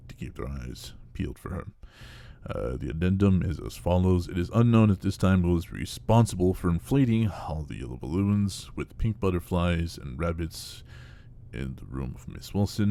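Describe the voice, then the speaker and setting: A man playing a formal official who reads out an investigation report with hesitant pauses in a horror audio drama. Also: low-pitched, creaky, dark tone, full character voice. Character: dry male official reading an investigation report.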